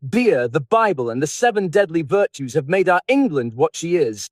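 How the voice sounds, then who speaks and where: A man's voice: a little high, big pitch swings, normal speed, dry recording, clean studio sound, voice pushed up. A man voicing a witty, languid English aristocrat in an audiobook, teasing and aphoristic in a theatrical way. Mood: dry wit. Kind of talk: audiobook character dialogue.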